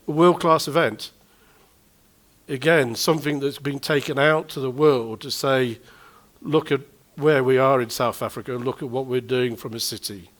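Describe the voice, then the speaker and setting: A middle-aged man with a Manchester accent, an event promoter giving a chatty, self-deprecating address at a press conference. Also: mid-range voice. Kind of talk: informal speech to the press.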